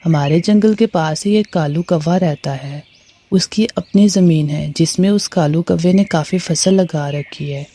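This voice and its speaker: A woman as a helpful cautious male cartoon bird, lowish pitch, mellow tone, earnest explaining. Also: unhurried. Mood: concerned, helpful. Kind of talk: Hindi moral story cartoon dubbing.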